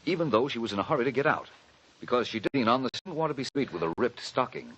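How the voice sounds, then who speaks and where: An actor playing a suave, dryly witty gentleman detective in a 1940s radio drama, measured and deductive. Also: mid-range voice, lively intonation, breathy edge, poor audio. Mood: deducing.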